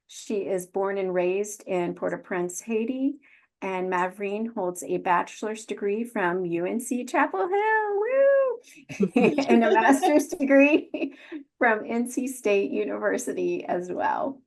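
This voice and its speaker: A woman hosting an online webinar at an unhurried, slow pace. She reads out guest introductions, hesitating often with ums. Her voice sits at a middle pitch. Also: lively intonation. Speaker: webinar host. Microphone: video call.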